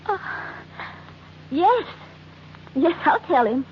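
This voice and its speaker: An actress playing a frightened wife with a secret, anxious, halting and pleading, in an old-time radio melodrama. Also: female voice, high voice, sing-song, full character voice. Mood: nervous. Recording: muffled, noisy old radio recording.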